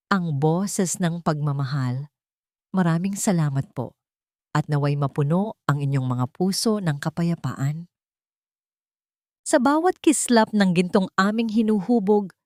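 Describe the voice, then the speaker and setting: Low voiced woman reading a dramatic story, earnest n sentimental, kinda formal, super dry sound. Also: darkish tone, no echo. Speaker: storyteller and channel host. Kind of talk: scripted dramatic story narration.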